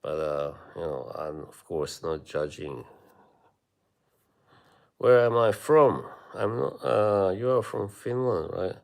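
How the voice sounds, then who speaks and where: A man from Bosnia with a Bosnian accent, chatting casually with viewers on a live stream, rambling and halting with many fillers. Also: deep voice, lively intonation, creaky, a little mellow.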